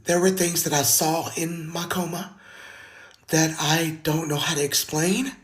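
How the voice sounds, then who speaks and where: Raspy low-voiced woman with a slight lisp, earnest first-person testimony into a phone. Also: unhurried. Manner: earnest, heartfelt, plain-spoken. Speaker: singer sharing her story. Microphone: phone video.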